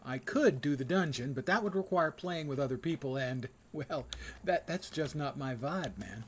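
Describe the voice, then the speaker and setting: A man's voice: fairly high pitch, lively intonation, normal speed, slight background noise. A man doing casual live commentary on a video game, musing in a dry, wry, pedantic way. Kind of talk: casual solo gameplay commentary.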